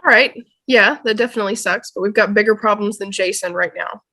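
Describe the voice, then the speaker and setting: A woman's voice: mid-range voice, fairly quick, bright, forceful tone. A woman acting a matter-of-fact, know-it-all teenage boy in a dramatic table read over a video call. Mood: urgent.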